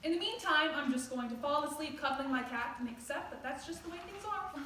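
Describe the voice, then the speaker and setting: An actress playing an exasperated young single romantic in a stage play, with a dramatic, emphatic delivery. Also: female voice, high-pitched, lively intonation, a little fast, sharp tone. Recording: very echoey room, distant mic, faint noise.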